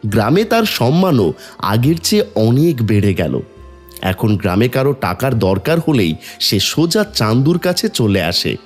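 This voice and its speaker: Animated male cartoon story narrator. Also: medium pitch.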